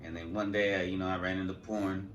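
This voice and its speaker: A man co-hosting a casual podcast, telling rough stories in a relaxed, swearing way. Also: deep voice, quick pace, muffled recording. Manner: laid-back, swearing storyteller. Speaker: podcast co-host. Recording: echoey room, mic at a distance.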